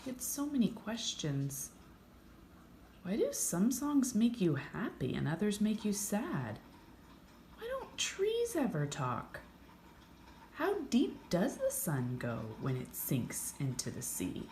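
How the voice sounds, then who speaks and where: Curious storybook fox, male, earnest and lively in pitch played by a woman, roomy sound. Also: slow pace, full character voice. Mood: wondering. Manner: wondering, earnest. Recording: roomy echo, faint background noise.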